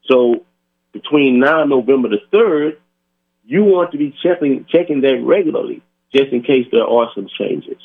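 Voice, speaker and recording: A hoarse man hosts a community radio talk show. He speaks earnestly and directly to his listeners, leaning on filler words as he urges them on. The audio is thin and tinny, like a phone line. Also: mid-range voice, animated, moderate pace.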